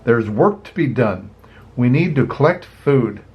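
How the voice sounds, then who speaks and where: A bossy, angry worker ant from a storybook, acted out by an older man, gruff and scolding. The delivery is emphatic with big pitch movement, slightly dull in sound. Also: animated, a little slow.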